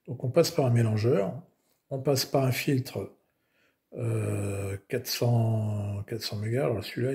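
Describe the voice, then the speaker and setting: A hoarse man casually showing his homemade radio modules, talking with many fillers and restarts. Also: mid-range voice, darkish tone. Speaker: amateur radio builder. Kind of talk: casual technical show-and-tell.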